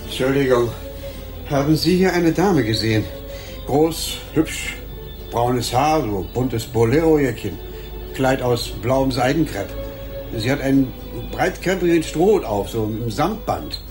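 Man playing an anxious, mid-pitched bar customer. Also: expressive, a little slow, darkish tone, faint music behind, close to an everyday voice. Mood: anxious, urgent. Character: hurried, anxious man searching for a woman. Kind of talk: radio play dialogue.

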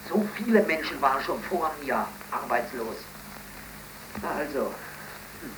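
A man's voice: mid-range voice, sing-song, a little slow. In a noisy 1930s German radio play, an actor plays a bitter, despairing unemployed blacksmith in his twenties, theatrical and brooding. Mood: bitter, resigned. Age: 20s.

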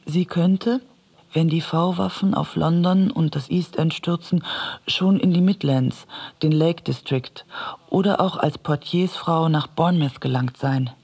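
A man reads scripted literary prose in a husky, creaky voice pitched somewhat high. His delivery is measured and contemplative. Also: steady tone, a little mellow. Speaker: narrator.

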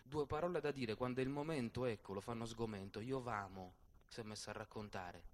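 A man's voice: mid-range voice, a little fast, voice pushed down, natural voice. A man playing a capricious, exacting young lady of the stage, with dramatic, declamatory delivery, in a radio theatre piece. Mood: musing, indignant. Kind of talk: theatrical verse monologue and dialogue. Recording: voice over prominent plucked guitar music.

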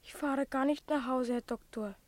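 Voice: high voice, steady tone, moderate pace, no echo, lightly acted. A performer in a radio play playing an earnest, troubled schoolboy, polite and hesitant. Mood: troubled, reluctant.

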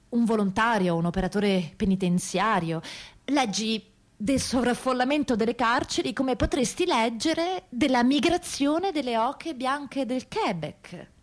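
A woman performing a scripted theatrical monologue, deliberate and rhetorical, with dramatic pauses. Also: a little high. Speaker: stage performer and narrator.

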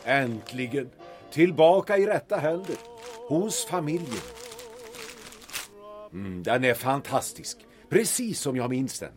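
Man playing haughty, formal gentleman, very animated delivery. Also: mid-range voice, long pauses, faint music behind, lightly acted. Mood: formal, gratified. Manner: formal, condescending, theatrical. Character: haughty, formal Stockholm gentleman, grieving brother, cutting. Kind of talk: period radio drama acting.

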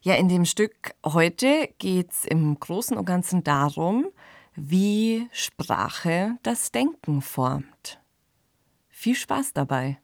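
A woman podcast presenter introduces a radio play in a fairly low voice with marked creak. Her pitch moves in a lively way, at a very slow pace. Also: no room sound, clean studio sound.

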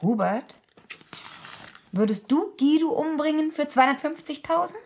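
Needling woman, fairly high voice, pointed, teasing, radio play dialogue. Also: expressive, normal speed, a little mellow, a little hiss or hum. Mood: provocative. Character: needling, provocative woman named Stefanie.

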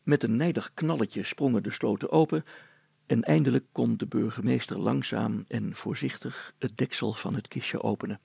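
A man's voice: mid-range voice, moderate pace, vocal fry, mellow, slightly rough, dry recording. A man reading a scripted story aloud as its storyteller.

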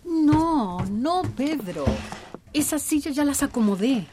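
Flustered activist, swooping pitch. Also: female voice, animated, background noise. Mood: brisk. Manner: brisk, easily flustered. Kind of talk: radio drama acting.

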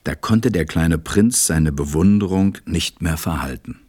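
An actor playing a gentle, reflective storyteller, narrating a storybook radio play. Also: male voice, low voice, unhurried, husky, dry recording, clean studio sound. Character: reflective adult male narrator recalling a little friend.

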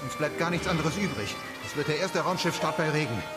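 A man's voice: fairly high pitch, expressive, brisk, loud music behind the voice, natural voice. An actor playing an anxious, stubborn male space engineer in a German radio drama. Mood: worried, resolute.

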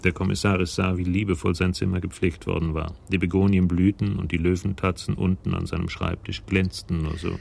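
Male narrator for radio crime drama: low, creaky voice, sober scripted delivery. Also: flat delivery, fairly quick, hardly any pauses, darkish tone.

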